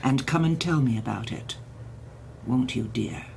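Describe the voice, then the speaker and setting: A woman's voice: rumbling low, a little slow, creaky, darkish tone, a little hiss or hum, exaggerated voice. A woman playing a stern, controlling older woman who runs a reeducation center, coldly sweet and menacing. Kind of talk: audio drama acting.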